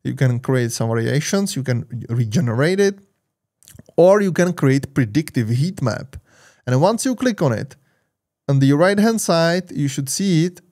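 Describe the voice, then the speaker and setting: A man in his thirties with a Czech accent, hosting a screen-share software walkthrough in a loose, rambling way with many fillers. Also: a little high, animated, unhurried. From Czech Republic. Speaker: tech channel host. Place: home studio with purple lights. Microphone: large studio mic on arm.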